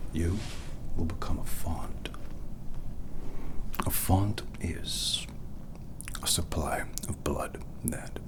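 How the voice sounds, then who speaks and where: A man voicing a solemn, possessive vampire doctor in a breathy, ceremonial ASMR whisper, reverent and coldly tender. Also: a bass voice, full character voice. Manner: slow, ceremonial, hushed. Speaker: ASMR roleplayer.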